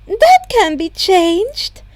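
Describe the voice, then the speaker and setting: Take-charge principal, put on by a woman, very high squeaky pitch, chirpy yet businesslike, audiobook. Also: big pitch swings, drawn-out words, no room sound, heavily acted. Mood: brisk, cheerful.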